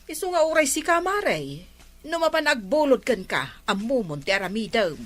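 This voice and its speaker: A woman's voice: on the high side, very animated, normal speed. A woman playing an aggrieved village neighbour complaining about borrowed things in an Ilocano comedy drama. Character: aggrieved village woman wanting her sandals back.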